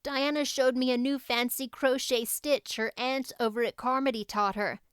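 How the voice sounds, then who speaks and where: A woman voicing an excitable, talkative young girl with a gushing, dramatic, flowery manner in an audiobook. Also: sits high, normal speed, clear, resonant tone, no echo, studio quality, natural voice. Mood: blissful, dramatic. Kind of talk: audiobook character voice.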